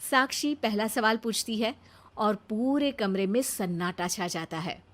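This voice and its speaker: A woman narrating a scripted comic story in a wry, expressive storyteller's manner. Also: mid-range voice, unhurried.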